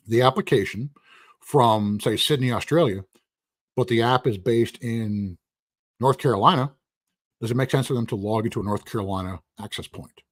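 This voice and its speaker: A husky man, losing his voice, teaching a casual live-streamed lecture in a rambling, conversational way with asides. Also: mid-range voice, no echo. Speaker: instructor.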